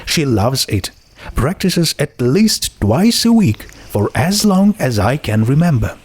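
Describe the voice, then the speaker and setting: A man's voice: mid-range voice, sing-song, slow, a little mellow, slightly rough, voice pushed up, exaggerated voice. A man voicing a friendly, homesick teenage girl in a storybook, talking in a casual, chatty way. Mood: cheerful, hurried.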